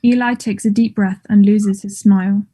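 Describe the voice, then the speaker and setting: Mellow-voiced woman reading stage directions. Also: medium pitch, fairly even intonation, moderate pace, breathy edge. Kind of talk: scripted narration for a play table read.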